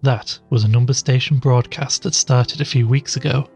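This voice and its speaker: A man playing a podcast host who investigates mysteries, presenting in a measured, explanatory way in an audio drama. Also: medium pitch, fairly even intonation, creaky, darkish tone, husky, soft background music. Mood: measured, intrigued.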